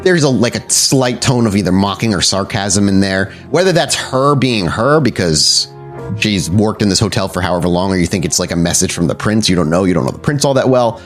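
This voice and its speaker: Low-voiced man narrating with animated pitch. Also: brisk. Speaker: game master, storyteller. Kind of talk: improvised tabletop game narration. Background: soft background music.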